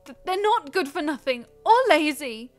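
Audio drama casting: young female dragon, played by a woman, high-voiced, timid, earnest, stumbling and pleading. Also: lively intonation, normal speed, faint music behind. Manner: hesitant, pleading, stammering.

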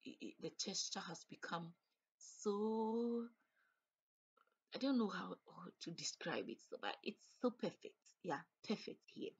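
A woman's voice: medium pitch, lively intonation, unhurried, breathy edge, muffled recording. Chatty woman cooking at home. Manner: chatty, explains each step as she cooks. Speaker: cooking vlogger. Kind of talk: casual cook-along narration. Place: home kitchen.

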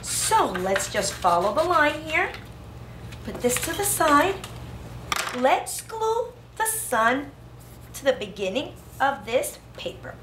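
Preschool teacher, female, high-pitched, sing-song craft instruction for little kids, roomy classroom sound with slight noise. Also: very animated, takes it slowly. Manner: sing-song, child-directed, exclamatory. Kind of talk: instructional craft lesson for young children. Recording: roomy classroom echo, faint background noise.

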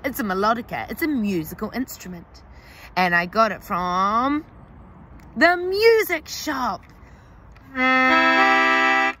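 Sing-song teacher reading to kids. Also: female voice, a little high, bright, forceful tone, a little hiss or hum. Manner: slow, exaggerated, sing-song storybook reading. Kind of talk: reading lesson for young children. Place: outdoors under trees.

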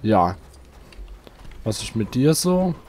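A man's voice: lowish voice, sing-song, takes it slowly, darkish tone, a little hiss or hum. A man hosting a casual let's play, chatting to his viewers. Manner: chatty, talks to viewers, asks for likes. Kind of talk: casual gameplay commentary.

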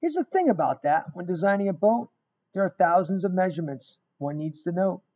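Middle aged guy doing a dramatic storytime read, highish mellow voice, kinda lo-fi sound. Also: animated, brisk. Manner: emphatic, dramatic storytelling with repeated phrases. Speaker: guest reader of a children's book. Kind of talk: expressive read-aloud of a rhyming picture book. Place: small indoor room. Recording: dull, lo-fi webcam sound.

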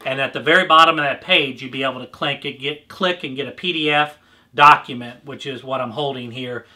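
Casting: American man, middle-aged, conversational product presenter with a markedly sharp, bright, average-pitched delivery. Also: fairly even intonation, fairly quick. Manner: explanatory, conversational. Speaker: presenter for a trade show supplier. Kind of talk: informal product explainer to camera. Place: indoor display booth set, standing behind a counter.

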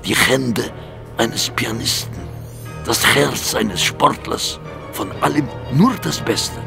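An obsessive mad surgeon in a radio play, speaking slowly with a creaky voice. He is grandiose and theatrical, lingering and repeating himself with quiet menace, while music plays behind him. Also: mid-range voice. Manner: theatrical, halting, repeats his own phrases. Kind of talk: German audio drama acting.

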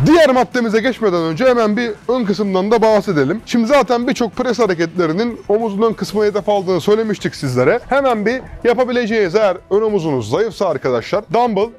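A young man in his twenties, a fitness coach giving a casual, energetic workout tutorial in a large gym, chatting to viewers like friends on a lapel mic. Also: high voice.

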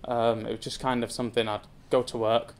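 A young man in his twenties from England speaks at a lectern in a wood-panelled room before a seated audience. His delivery is hesitant and casual, peppered with ums and light self-deprecation, at an ordinary mid pitch. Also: level tone, a little fast. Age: 20s. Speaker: zoo community engagement assistant giving a talk. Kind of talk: personal story talk to an audience.

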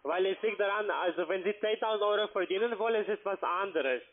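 A man from Slovakia with a foreign accent, not a native speaker, giving a halting, formally polite telephone sales pitch in broken grammar. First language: not German. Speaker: investment phone salesman. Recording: narrow-band, tinny phone line.

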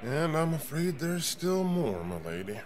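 A man's voice: a little high, big pitch swings, a little slow, some chatter behind. A man playing a dutiful, respectful starship captain with a grim, dryly wry manner in a science fiction audio drama.

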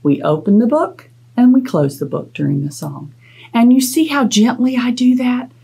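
A woman's voice: a little high, animated, moderate pace, creaky, dark tone, husky. A middle-aged woman hosting a children's storytime as a librarian, instructive and playfully dramatic. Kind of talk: children's storytime talk and read-aloud. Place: small indoor space, plain wall.

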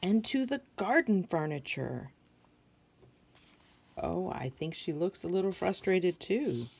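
American woman, middle-aged, children's storytime reader; low pitch with noticeable creak, asks the young listeners questions. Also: lively intonation, slow pace, a little mellow. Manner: simple questions and observations addressed to children. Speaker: picture book reader for children. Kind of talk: read-aloud storytelling with asides to young listeners.

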